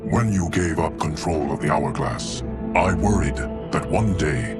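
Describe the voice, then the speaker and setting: A man's voice: extremely low pitch, a little slow, loud music behind the voice. A man playing a solemn, loyal steward of time in a video game, with a formal, grave manner. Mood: solemn, measured.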